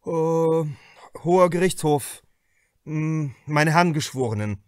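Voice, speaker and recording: A man voicing a pompous male state prosecutor in an audiobook, halting and formally declaiming. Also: fairly high pitch, lively intonation, darkish tone, smooth, no echo, studio quality. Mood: pompous, official. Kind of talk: acted audiobook reading.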